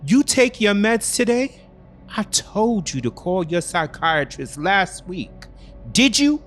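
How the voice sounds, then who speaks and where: A man performs a controlling boyfriend in a dramatic audio story. The voice is pushed up and loud, with a smug, dismissive edge. He talks in sharp, impatient slang with lively swings. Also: high voice, slow pace, faint music behind, voice pushed up, heavily acted.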